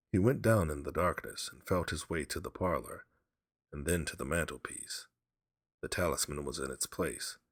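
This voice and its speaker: A man reads a horror story aloud as a dramatic narrator. His voice is deep and grainy, moving expressively through the prose, recorded close and dry. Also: slightly rough, no echo.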